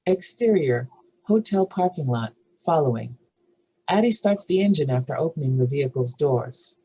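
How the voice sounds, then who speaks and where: A woman's voice: low voice, expressive, moderate pace, dark tone, husky, poor audio. A woman reading stage directions as scripted narration for a drama table read.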